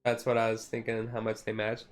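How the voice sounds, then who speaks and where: A man streaming a casual ASMR-style card showcase, easygoing and halting as he reads out cards, his voice a little rough and taken gently. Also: lowish voice, monotone, soft background music. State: voice slightly rough, being taken easy.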